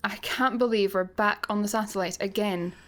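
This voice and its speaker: A woman's voice: mid-range voice, fairly even intonation, moderate pace, fairly bright tone, lightly acted. A woman playing a wry, sceptical young layabout in a sci-fi comedy radio play, with a dry, sardonic manner. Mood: resigned, wry.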